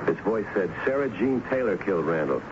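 An actor playing a courteous, patient police lieutenant in a 1970s radio mystery, speaking a bit haltingly. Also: male voice, lowish voice, lively intonation, natural voice. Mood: puzzled. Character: polite, steady male police lieutenant, a detective. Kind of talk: 1970s radio drama acting. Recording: noisy, dull 1970s radio broadcast.

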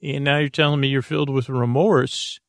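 A man hosting a sleep podcast, rambling in a halting, gently joking bedtime talk full of fillers and restarts. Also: mid-range voice, fairly even intonation, creaky, no echo, clean studio sound.

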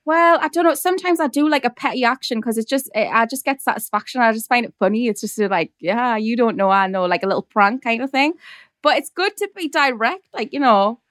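A woman's voice: on the high side, brisk, a bit bright, no room sound, studio quality. A young woman with a Geordie accent, chatting frankly and laughing as a podcast guest. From North East England. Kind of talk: casual chat.

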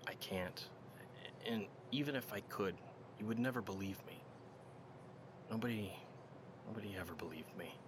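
A man's voice: lowish voice, noisy background, close to an everyday voice. A man playing a fragile, haunted patient. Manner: gentle, halting, fearful.

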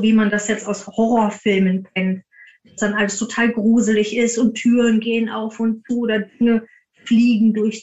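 A woman's voice: a little high, a little mellow, dull audio. A woman speaking as a podcast guest, explaining her work in detailed, conversational answers. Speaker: podcast guest, paranormal investigator.

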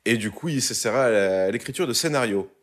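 A man's voice: medium pitch, expressive, fast talker. Joking young Frenchman hosting a podcast. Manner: jokey, loose delivery with many fillers. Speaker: podcast co-host presenting a segment. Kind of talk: casual chat.

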